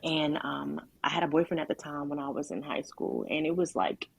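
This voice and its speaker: Deep, gravelly-voiced woman sharing her story. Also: animated, dry recording. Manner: candid and reflective, with halting restarts and frequent "um" and "like". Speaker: guest sharing her testimony. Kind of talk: candid conversational testimony.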